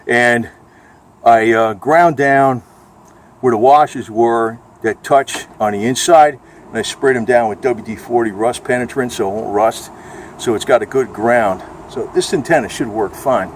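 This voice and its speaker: A man's voice: mid-range voice, unhurried. An American man chatting casually in a rambling homemade vlog, informal and salty in a matter-of-fact way. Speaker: amateur video blogger. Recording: noisy amateur recording, vehicle ambience.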